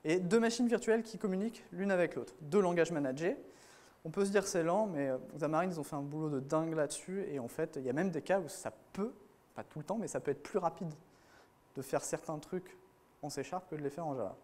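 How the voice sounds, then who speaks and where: A man's voice: on the high side, a little fast, darkish tone. A man giving a casual technical talk to a room of developers at a conference, with many fillers and restarts. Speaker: conference speaker.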